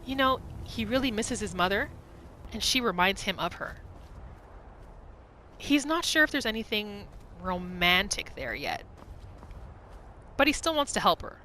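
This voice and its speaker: A woman's voice: medium pitch, unhurried, long pauses, a little hiss or hum. A woman doing casual, playful gameplay commentary, narrating her roleplay as she goes and talking to herself. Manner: playful, expressive, talking to self and game. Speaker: gamer narrating own roleplay playthrough. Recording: game music behind the voice. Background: video game music.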